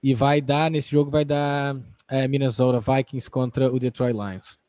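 Mellow brazilian dude on a sports podcast, dry sound, opinionated slangy chat. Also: male voice, medium pitch, flat delivery, measured pace, dry recording. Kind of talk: casual football debate.

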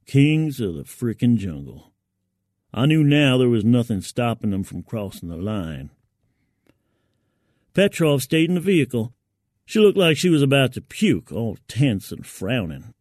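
A man's voice: medium pitch, sing-song, a little slow, darkish tone, dry recording. Man narrating gritty fiction, very dry sound. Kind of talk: dramatic first-person fiction narration.

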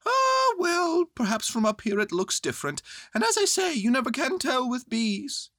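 Woman acting male toy bear, storybook character, high-pitched, earnest schemer, excitable, pompous, dry close sound. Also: moderate pace, dry recording, full character voice. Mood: excited, anxious. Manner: excitable, ponderous, self-important pronouncements.

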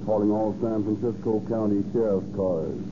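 An actor playing a stern police radio dispatcher in a 1930s radio drama, measured and clipped on a muffled, noisy old recording. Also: male voice, lowish voice, little pitch movement, close to an everyday voice. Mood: stern, urgent.

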